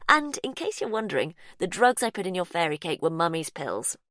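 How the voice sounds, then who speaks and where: A woman reading an audiobook, voicing an unhinged, childlike captor who speaks in a high, breathy, sweetly menacing sing-song. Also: big pitch swings, brisk, no room sound, studio quality. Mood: creepy sweet.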